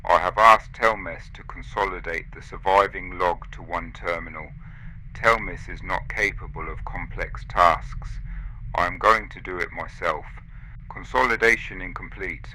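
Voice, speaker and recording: A man voicing a resigned, dying station officer recording grave, measured log entries for a sci-fi audio drama. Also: deep voice, bright, forceful tone, a little hiss or hum, heavily acted, low-quality recording. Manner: grave, measured, clipped sentences.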